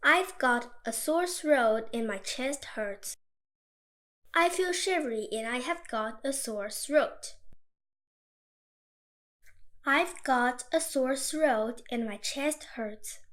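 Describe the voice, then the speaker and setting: High-voiced woman reading dictation. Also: takes it slowly, a bit bright. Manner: reads each sentence twice, evenly and clearly. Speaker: dictation reader.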